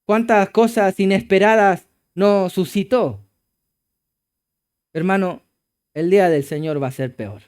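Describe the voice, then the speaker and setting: A man with a Chilean accent preaching a sermon as pastor, emphatic and moving between loud exclamations and low hushed passages. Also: measured pace, pauses often, clear, resonant tone. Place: church.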